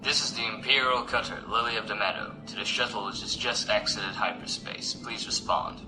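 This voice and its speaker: An actor playing a stern, officious imperial navy officer with a clipped, authoritative manner in a science fiction audio drama. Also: male voice, lowish voice, a little fast, lightly acted, rough recording. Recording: music behind the voice.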